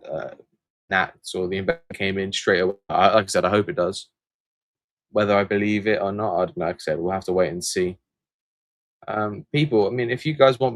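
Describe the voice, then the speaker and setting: Low-voiced Englishman rambling on a livestream. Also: no room sound. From England. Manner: rambling and hesitant, with frequent fillers and restarts. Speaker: channel host answering viewer questions. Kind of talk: casual livestream chat, reading out viewer comments.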